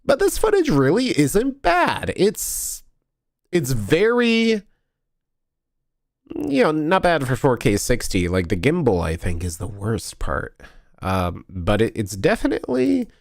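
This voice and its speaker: A tired, sniffling man chats loosely to his stream audience and keeps restarting his sentences. His voice sits at a middle pitch but swings up and down in a lively way. The sound is dry and close, with no room around it. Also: slow, gravelly, dry recording. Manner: rambling, self-correcting, chatty with restarts. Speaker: vlogger streaming a convention video review. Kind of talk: casual live-stream chat while editing.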